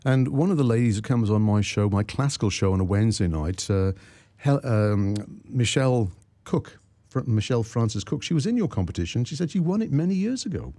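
Lively, chatty radio host man. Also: medium pitch, fairly quick, no echo. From Wales. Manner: enthusiastic, chatty, leading questions. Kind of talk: radio interview.

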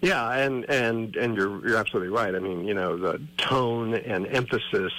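A man's voice: lowish voice, moderate pace, bright, forceful tone, poor audio. A man writing a newsletter, co-hosting a casual chat, talking in a thoughtful, rambling way with lots of "you know". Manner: chatty, thoughtful, many fillers like "you know". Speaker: co-host, newsletter writer. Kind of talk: casual conversation.

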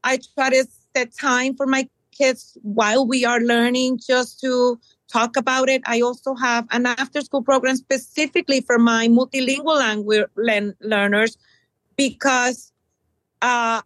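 Earnest middle-aged teacher from Puerto Rico. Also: female voice, fairly high pitch, steady tone, fairly bright tone, no room sound, rough recording. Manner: earnest, many ums and pauses. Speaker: middle school bilingual teacher. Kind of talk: interview answers.

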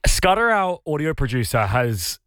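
Teasing Australian female co-host, animated delivery, close dry mic. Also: low-pitched, moderate pace, clear, resonant tone, no echo. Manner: teasing, playful. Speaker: radio co-host. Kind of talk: casual radio chat.

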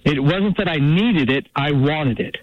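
A man in his sixties calling into a radio show, opinionated and halting, with many restarts. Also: fairly high pitch, no room sound. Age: 60s. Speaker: caller. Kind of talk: call-in radio. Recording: dull dry phone-line call.

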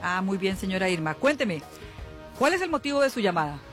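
A woman's voice: medium pitch, sing-song, brisk. Female radio psychic over background music. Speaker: spiritual healer and radio host. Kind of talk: live call-in psychic consultation and promotion. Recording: music bed behind voice.

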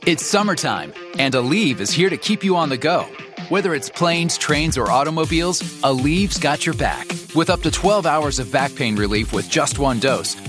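A man voicing a polished national radio commercial with a breezy, friendly read. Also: on the high side. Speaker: commercial voice-over.

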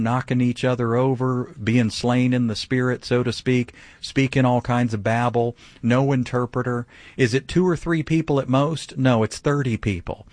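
Male radio preacher, mid pitch, emphatic, dry close mic. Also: dry recording. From grew up speaking some Vietnamese. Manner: emphatic, rhetorical questions. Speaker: pastor. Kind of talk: radio Bible teaching.

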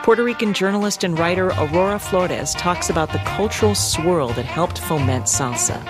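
A woman hosting a radio interview, asking questions and reading measured scripted narration. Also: on the low side, over loud music. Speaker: radio show host.

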